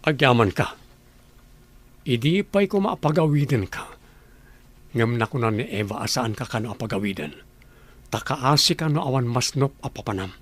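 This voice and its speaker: A man's voice: medium pitch, lively intonation, normal speed, long pauses, natural voice. An actor with an Ilocano accent playing a stern, questioning father and household boss in a radio drama.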